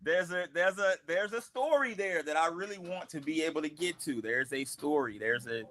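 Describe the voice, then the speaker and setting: A man's voice: on the high side, expressive, moderate pace, fairly bright tone. A man, the show's creator, talking casually on a cast livestream, often repeating words as he finds his thought. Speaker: creator of the comic series.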